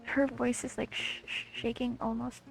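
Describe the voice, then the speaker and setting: A woman comments casually on a video game as she plays. Her voice is on the high side with noticeable creak, and game music plays behind her. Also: flat delivery, moderate pace, rough recording. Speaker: game player streaming her playthrough. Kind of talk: casual gameplay commentary, reading some dialogue aloud.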